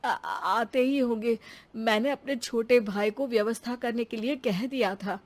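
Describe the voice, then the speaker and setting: Woman doing a worried bride's dad in a cartoon, humble, begging politely, highish voice. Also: steady tone, unhurried, no room sound, natural voice. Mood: anxious, begging. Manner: deferential, pleading. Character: humble, anxious father of the bride, pleading.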